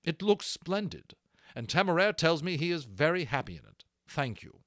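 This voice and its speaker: A man's voice: on the high side, sing-song, normal speed, a bit bright, dry recording, studio quality, voice pushed up. A man voicing a courteous, affectionate naval officer in an audiobook, with a formal, gracious, old-fashioned manner. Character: courteous, affectionate naval gentleman turned dragon aviator.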